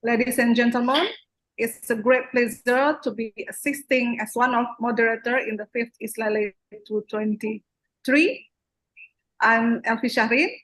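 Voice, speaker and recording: Woman moderator, slightly high voice. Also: steady tone, measured pace, a bit bright, poor audio. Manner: formal, reads a prepared introduction. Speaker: session moderator. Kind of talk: formal speaker introduction.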